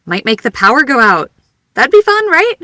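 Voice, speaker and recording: Woman acting chatty woman, bright close voice. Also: sits high, very animated, normal speed, dry recording, full character voice. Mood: casual, playful. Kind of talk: naturalistic fiction podcast acting.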